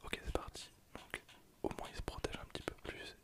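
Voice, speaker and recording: Whispered ASMR gaming commentary. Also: brisk. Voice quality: soft unvoiced whisper. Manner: casual, hesitant, thinking aloud while playing. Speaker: ASMR gaming video creator.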